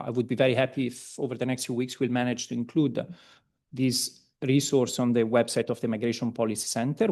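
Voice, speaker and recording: A man from Italy with an Italian accent, giving a seminar presentation, hesitant with many ums and restarts and relaxed, self-deprecating asides. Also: medium pitch, creaky. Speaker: seminar speaker.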